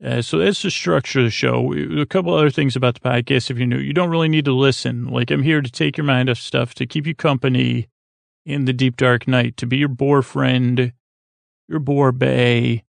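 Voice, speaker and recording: Creaky-voiced man rambling gently. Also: mid-range voice, dry recording. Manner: meandering, halting, self-interrupting delivery; gentle, calm. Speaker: podcast host. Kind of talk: rambling, soothing bedtime podcast monologue.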